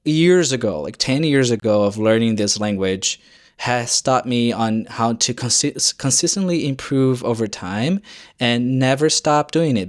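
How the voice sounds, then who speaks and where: A young man speaking English as his second language, giving earnest, encouraging advice in a casual vlog and often searching for words and restarting phrases. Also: mid-range voice. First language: not English. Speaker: YouTuber.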